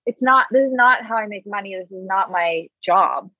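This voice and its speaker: A woman's voice: medium pitch, normal speed, no echo. Woman in her thirties, interview guest, earnest and reflective, lots of "like", dry slightly muffled recording. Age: 30s. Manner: reflective, earnest, many "like" fillers. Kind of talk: casual interview chat.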